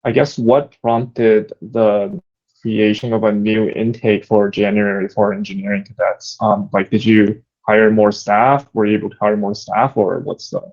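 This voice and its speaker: A man's voice: lowish voice, level tone, a little slow, darkish tone. A man attending an online meeting, casually asking questions. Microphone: online meeting call. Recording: dull lo-fi online call audio.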